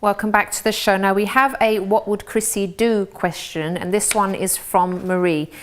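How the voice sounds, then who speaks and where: A woman hosting a TV talk show, asking questions and giving advice in a gentle, hesitant way. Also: mid-range voice, fairly even intonation, moderate pace, soft background music. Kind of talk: casual interview chat.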